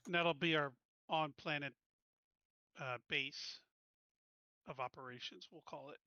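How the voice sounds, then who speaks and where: A man's voice: on the high side, measured pace, bright, forceful tone, smooth, dry recording, highs cut off. A man playing a tabletop game, decisively planning his group's tactics. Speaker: player. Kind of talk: casual chat in a tabletop game.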